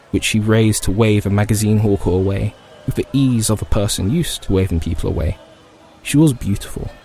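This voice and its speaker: A man's voice: fairly low pitch, darkish tone. A man narrating literary fiction as a dramatic voice actor's reading. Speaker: voice actor performing a reel.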